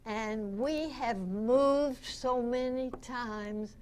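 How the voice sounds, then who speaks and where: Slow, high-voiced woman in her nineties. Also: a little mellow. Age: 90s. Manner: unhurried anecdotal storytelling. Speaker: guest, veteran radio actress and singer. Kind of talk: reminiscing before a club audience. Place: club meeting room with audience. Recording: noisy live meeting-room recording.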